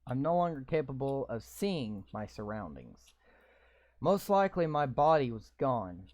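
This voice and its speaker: A man's voice: mid-range voice, big pitch swings, measured pace, darkish tone, dry recording. A man playing a visual novel, reading its text aloud and breaking in with sarcastic, swearing comments. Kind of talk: reading game text aloud with live reactions.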